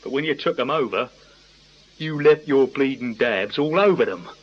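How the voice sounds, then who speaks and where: A man's voice: medium pitch, expressive, normal speed, natural voice. An actor playing a blunt, aggrieved small-time male crook with a working-class English accent in a radio crime drama. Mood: angry, accusing. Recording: muffled, noisy radio drama recording.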